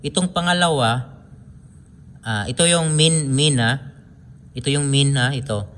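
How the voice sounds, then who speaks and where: A man's voice: mid-range voice, measured pace, fairly bright tone. A man with a Filipino accent giving an informal hands-on tutorial, explaining step by step and often repeating himself as he points things out. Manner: repetitive, step-by-step explaining with frequent pointing phrases. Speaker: DIY electronics tutorial host. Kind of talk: informal hands-on wiring demonstration.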